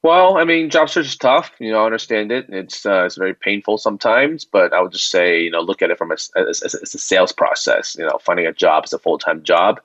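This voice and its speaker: A man's voice: low-pitched, brisk, hardly any pauses, fairly bright tone, dry recording. A man answering interview questions as a guest in an informal, reassuring, conversational manner over a remote call. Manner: informal, reassuring, often checks with "right?". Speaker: company founder and CEO, interview guest. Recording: dull, dry remote call audio.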